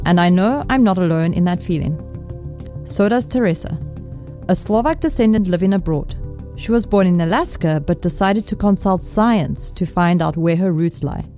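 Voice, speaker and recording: A woman's voice: on the low side, expressive, normal speed, mellow. Woman radio host over music. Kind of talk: scripted radio presentation and interviewing.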